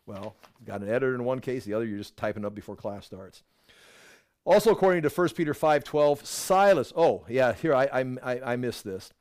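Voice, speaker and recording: A man's voice: mid-range voice, lively intonation, brisk, a little mellow. Middle-aged man, Bible teacher, mid-range voice, chatty lecture with wry asides and restarts. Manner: conversational, digressive, with wry asides and self-corrections. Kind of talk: casual Bible class lecture.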